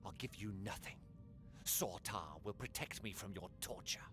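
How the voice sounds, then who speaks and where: A man's voice: on the low side, normal speed, over music, close to an everyday voice. A man voicing a captured cultist creature in a video game, fearful yet fanatical, with a hissing, whispery delivery. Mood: defiant, reverent. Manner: fanatical, dramatic.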